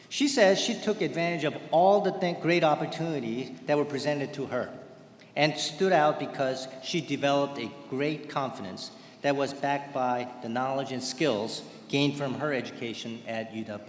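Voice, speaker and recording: A man giving a formal commencement speech in a measured, ceremonial way, speaking into a podium microphone in a large indoor venue. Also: fairly high pitch, slight background noise. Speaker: ceremony presenter. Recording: echoey hall, faint ambience.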